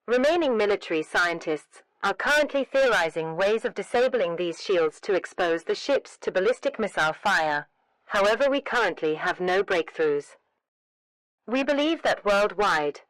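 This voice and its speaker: A woman speaks as an emergency broadcast announcer, with a medium-pitched voice. Her delivery is scripted, formal and solemn, each sentence measured out evenly. The sound is dry and close, with no room on it. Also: a bit bright, no echo, low-quality recording.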